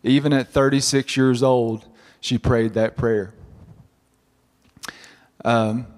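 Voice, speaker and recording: A man, giving a halting personal testimony in church, his voice husky from a cold. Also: medium pitch, fairly even intonation, darkish tone. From southern West Virginia. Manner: halting, many restarts and fillers. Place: church sanctuary. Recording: church hall reverb.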